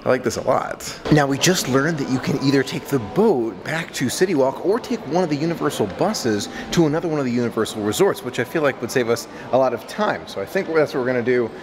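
Male vlogger, 30s, medium pitch, enthusiastic tour-guide chat, shot handheld with noticeable location noise. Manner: enthusiastic, repeats words for emphasis. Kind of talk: walk-and-talk resort tour vlog. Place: resort lobbies and covered walkways at night. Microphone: camera held at arm's length. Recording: clearly noisy handheld on-location recording.

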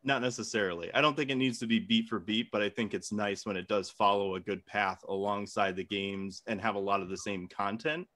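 A man's voice: on the low side, fairly even intonation, a little fast, few pauses, a bit bright. A man in an informal comedic debate, arguing bluntly with casual swearing. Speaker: debate contestant. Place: home room, indoors.

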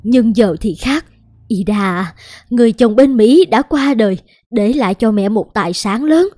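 A woman's voice: fairly high pitch, animated, measured pace, mellow, no room sound, clean studio sound. A woman voicing a pleading, self-justifying estranged mother in a cartoon melodrama, emotional and coaxing.

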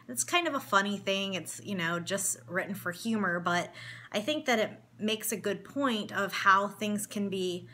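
A woman's voice: mid-range voice, pushed and bright. A woman in her forties with a cold, speaking earnestly to her daughter in a personal video and reading an article aloud at home. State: has a cold. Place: home room in front of window blinds.

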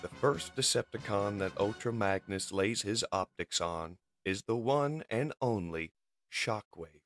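A man's voice: on the low side, unhurried, fairly bright tone, dry recording, soft background music. A man reading dramatic scripted narration of a comic-book story.

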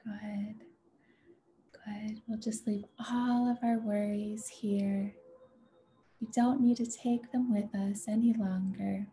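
A woman leading a guided meditation in a gentle, soothing, unhurried way, with a soft, breathy voice that often nears a whisper. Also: medium pitch, flat delivery, a little hiss or hum. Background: music.

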